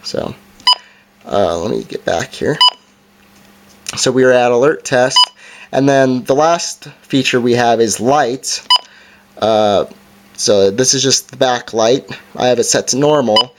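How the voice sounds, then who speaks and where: A man's voice: medium pitch, slow pace, pauses often. Man casually demonstrating a gadget, noisy recording. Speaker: product reviewer. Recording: noisy amateur home recording, clicks.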